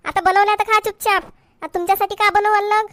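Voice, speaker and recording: Woman acting a very shrill-pitched, scolding village wife. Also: level tone, fast, dry recording. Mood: exasperated. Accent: Varhadi Marathi from Vidarbha. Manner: scolding, complaining, sharp-tongued. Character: exasperated, hard-working village wife and mother who talks back. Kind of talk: comedy cartoon dialogue.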